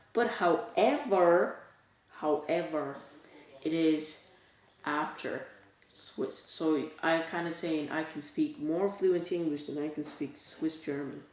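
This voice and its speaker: Host in an echoey room. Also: mid-range voice. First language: Bulgarian. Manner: halting, non-native phrasing with many restarts. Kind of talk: casual talk-show monologue to camera. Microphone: camera set back from the speaker. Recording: echoey room, distant mic, faint hiss.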